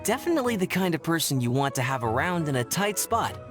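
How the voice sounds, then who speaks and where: A man's voice: fairly high pitch, big pitch swings, fast talker, loud music behind the voice. A man playing an agreeable, admiring adventurer in a video game. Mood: relieved. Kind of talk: video game character acting.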